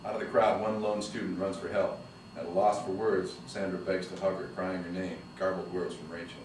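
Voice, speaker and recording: A man's voice: low voice, a little fast. A man reading the stage directions aloud at a screenplay table read. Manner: steady, even reading of action lines. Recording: echoey room from far off with noise.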